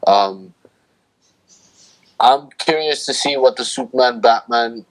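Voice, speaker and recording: A man's voice: mid-range voice, slow pace, rough recording. A man speaking as a panelist in a casual chat, thinking aloud in a tentative way.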